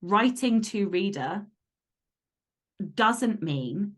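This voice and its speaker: A woman's voice: mid-range voice, lively intonation, very slow. A woman podcast guest giving conversational advice in a blunt, emphatic, sweary way.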